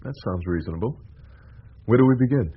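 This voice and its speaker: Muffled radio recording, earnest priest, husky, quick delivery, questioning, radio play. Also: male voice, on the low side, lively intonation. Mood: cautious. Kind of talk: radio drama acting.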